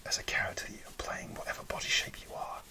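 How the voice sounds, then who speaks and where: Man whispering softly into a close mic. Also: fast. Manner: soft, rambling and hesitant, with many restarts. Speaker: ASMR creator. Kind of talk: whispered ASMR storytelling.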